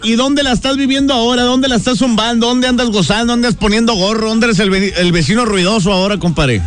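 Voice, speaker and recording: A man's voice: very high-pitched, quick pace, a bit bright. A man with a Monterrey accent hosting a radio show, teasing callers in slangy banter. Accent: northern Mexican, Monterrey. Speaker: radio host. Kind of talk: casual morning-radio banter. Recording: music bed playing behind.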